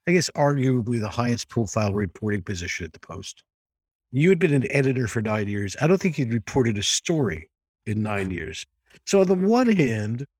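Man hosting a podcast, slightly husky. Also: mid-range voice, a little mellow, no room sound. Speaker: podcast host. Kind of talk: scripted intro then interview questions.

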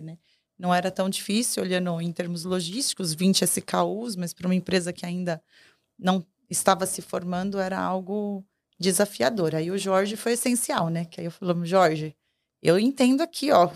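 A woman with a lowish voice tells a business story on a podcast. She speaks with lively energy and corrects herself along the way. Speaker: sales partner. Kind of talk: casual podcast chat.

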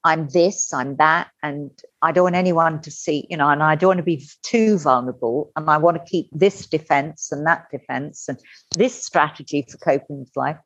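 A middle-aged woman telling her personal story as a podcast guest, candid and animated, acting out the voices she quotes. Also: sits low, moderate pace, no echo. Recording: dull lo-fi dry sound.

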